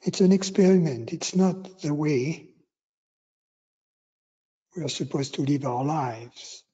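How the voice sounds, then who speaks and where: A man teaching meditation, giving guided instructions in short, unhurried phrases with long pauses between them. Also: a little high, warm tone, husky, muffled recording.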